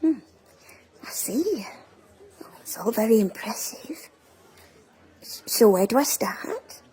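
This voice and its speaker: A woman playing an elderly visitor in an audio drama, polite, hesitant and curious, with a breathy, husky voice. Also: a little high, sing-song, slow pace, dark tone. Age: older.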